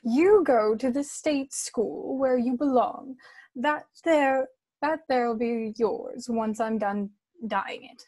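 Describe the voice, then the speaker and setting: Woman playing a high-voiced, fussy doting mother. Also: slow, a little mellow. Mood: snappish. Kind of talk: amateur online table read of a screenplay.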